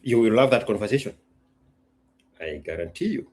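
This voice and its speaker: A man from Kenya hosts a casual talk in a husky voice. His pitch moves widely as he makes his points in short, emphatic sentences, often repeating a phrase. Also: lively intonation, normal speed, a little mellow. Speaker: host. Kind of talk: casual solo talk to an audience.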